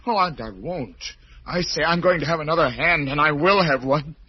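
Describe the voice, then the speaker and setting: An actor playing an unstable, obsessive concert pianist in old-time radio horror, with an intense, melodramatic manner. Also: male voice, a little high, normal speed, husky, dry recording. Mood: frantic, demanding. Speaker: actor and first-person narrator. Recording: muffled vintage radio recording.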